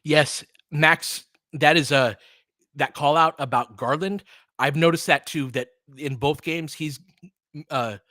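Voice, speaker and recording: A man's voice: a little high, slow pace, pushed and bright, no echo. American man casually talking basketball, dry close sound. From California, United States. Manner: analytical, thinking aloud with trailing, self-interrupting sentences. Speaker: host and play-by-play commentator. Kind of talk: casual basketball chat and live commentary, answering listeners.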